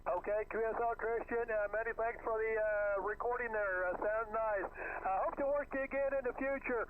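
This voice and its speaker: A man's voice: high-pitched, steady tone, brisk. A man working as an amateur radio operator, giving call signs and signal reports over a single-sideband radio link. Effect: single-sideband radio transmission. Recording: thin phone-like shortwave signal, faint static.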